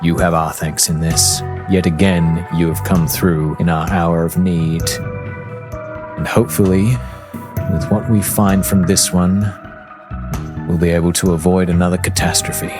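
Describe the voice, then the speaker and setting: A man playing a theatrical, courtly fey gentleman, low-voiced. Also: steady tone, music in the background. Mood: grateful. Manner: formal, flowery, drawn-out words.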